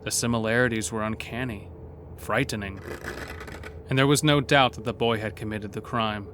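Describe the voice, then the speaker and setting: A man narrating a scripted horror story in a measured, literary, dramatic manner. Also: on the low side, background noise.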